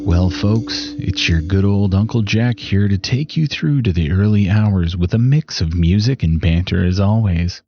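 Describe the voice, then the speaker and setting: An actor playing a gossipy, sneering late-night radio DJ who talks in crude, mocking, folksy banter. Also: male voice, deep voice, a little slow, hardly any pauses, dark tone, lo-fi sound, soft background music.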